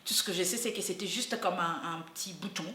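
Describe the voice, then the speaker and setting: A woman's voice: lowish voice, fast, sharp tone, roomy sound, a little hiss or hum. A young woman in her twenties from the Congo, speaking, giving an earnest, hesitant personal testimony with many fillers and restarts. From Democratic Republic of the Congo. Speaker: university student and activist.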